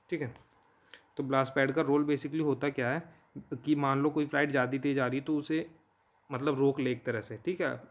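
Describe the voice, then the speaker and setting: An Indian man teaching in an informal, conversational way, explaining step by step and often checking in with listeners. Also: mid-range voice. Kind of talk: informal explanatory lesson in Hindi mixed with English terms.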